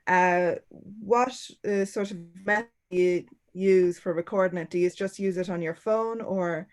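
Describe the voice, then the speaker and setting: A woman's voice: lowish voice, steady tone, measured pace, a little mellow. A woman asking an informal question as a participant in a workshop.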